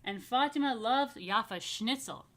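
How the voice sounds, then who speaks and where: A woman reading a picture book to young families as a rabbi, stretching key phrases expressively and slipping into chatty asides. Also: a little high. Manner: expressive, slow emphatic storytelling with chatty murmured asides. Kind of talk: children's story read-aloud with casual asides.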